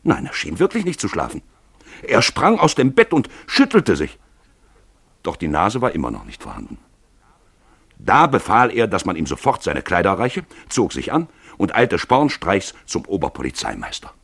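A man's voice: low-pitched, huge pitch swings, normal speed, vocal fry, a bit bright, slightly rough, no room sound. A man narrating a literary tale for a radio play in a dramatic storytelling style.

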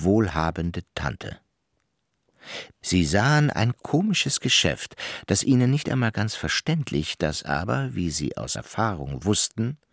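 A man narrates a literary radio play with deliberate, measured phrasing. His voice is low and husky, recorded dry and close. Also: no echo.

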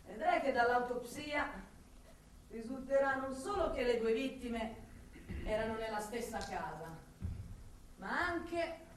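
A woman's voice: on the high side, slight background noise. An actress playing a cynical, foul-mouthed female forensic investigator in a theatrical radio drama, blunt and sardonic. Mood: smug, taunting. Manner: sardonic, blunt, swinging from muttering to outbursts. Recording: echoey, distant stage sound with music.

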